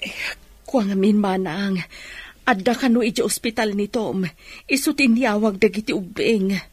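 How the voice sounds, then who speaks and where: Woman playing husky, unassuming worried woman. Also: medium pitch, normal speed, darkish tone, dry recording, close to an everyday voice. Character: simple, worried woman living alone. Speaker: radio drama actor. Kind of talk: radio drama acting.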